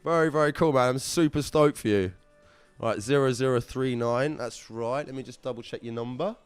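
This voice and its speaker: A man's voice: mid-range voice, moderate pace, smooth, no room sound, faint music behind. A man hosting a live prize draw in upbeat, chatty, slangy casual talk. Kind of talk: casual live-stream chat.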